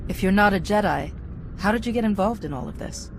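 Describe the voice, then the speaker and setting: A woman's voice: medium pitch, lively intonation, fairly quick, slight background noise, lightly acted. An actress playing a composed, determined female commander in a video game, speaking in a cool, direct, self-assured way. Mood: cool, probing. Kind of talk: dramatic video game acting.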